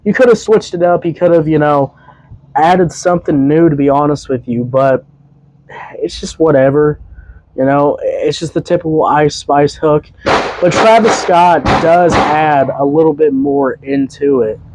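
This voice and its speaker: Slightly hoarse young man reviewing music. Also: a little high, unhurried, a little mellow, rough recording. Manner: loose and rambling, many fillers and restarts. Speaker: podcast host and music reviewer. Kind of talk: casual music review monologue.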